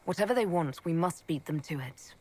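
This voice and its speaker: A woman playing a composed, decisive elf mage who leads an army in a fantasy video game, measured and commanding. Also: lowish voice, lively intonation, darkish tone. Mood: determined. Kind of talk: video game cutscene acting.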